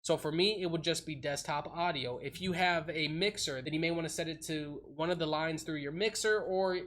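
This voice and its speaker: Casual man explaining software settings. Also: fairly high pitch, brisk. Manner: plain, explanatory, drawls some words. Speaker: streaming tutorial host. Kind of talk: casual screen-recorded tutorial. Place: home streaming setup. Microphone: desktop USB condenser mic.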